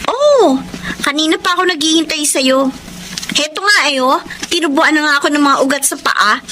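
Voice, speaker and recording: A woman's voice: high voice, animated, moderate pace, sharp tone, a little hiss or hum. A woman playing an exasperated, jealous live-in girlfriend in an emotional, nagging Tagalog radio drama style. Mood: jealous, annoyed. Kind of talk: Tagalog radio drama acting, with letter narration.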